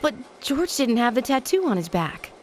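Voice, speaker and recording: Earnest, higher-voiced American deputy. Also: female voice, very animated, moderate pace, darkish tone, a little hiss or hum. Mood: doubtful, startled. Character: dutiful, proud female small-town deputy sheriff. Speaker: voice actor in a video game. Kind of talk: dramatic game cutscene acting.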